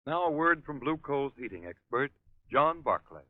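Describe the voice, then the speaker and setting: A man's voice: mid-range voice, very animated, moderate pace, dry recording. A man reading formal scripted announcements as a 1930s radio announcer. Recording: tinny, narrow 1930s radio recording.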